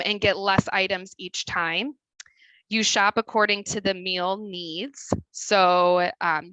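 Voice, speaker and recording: A woman with a Midwestern accent walks through her slides as a dietitian, chatty and full of fillers. Her voice is bright and crisp, sitting a little low, and the sound is dry and close. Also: no echo, rough recording. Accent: Midwestern American. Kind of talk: webinar presentation. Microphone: video call.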